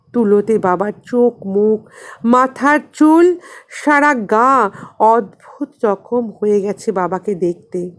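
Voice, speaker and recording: ধীর লয়ে গল্প পাঠ করা এক বাঙালি নারী. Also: female voice, a little high. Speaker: audiobook narrator and channel host. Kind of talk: expressive literary story narration.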